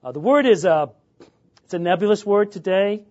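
A man in his fifties, from England, giving an informal lecture on church history, often pausing on filler sounds and restarting. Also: high-pitched, expressive, darkish tone, muffled recording.

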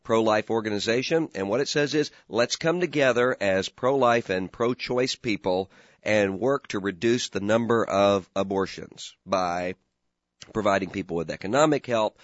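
Hoarse, gravelly talk radio host man. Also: on the low side, no room sound, dull audio. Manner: earnest, opinionated, repeats words when starting. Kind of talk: political talk radio commentary.

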